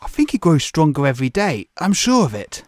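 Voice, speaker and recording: An actor playing a gentle, devoted, cheerful father in an audio drama, tender and earnest with old-fashioned phrasing. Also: a little high, very animated, fairly quick, no echo.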